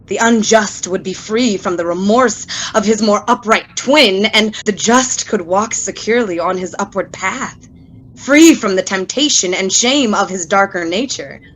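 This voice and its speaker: A woman's voice: medium pitch, measured pace, a little hiss or hum, lightly acted, low-quality recording. A woman playing a brilliant, tormented scientist in a radio play, delivering a formal, grave and intense monologue. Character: brilliant, tormented scientist musing on human nature. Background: music.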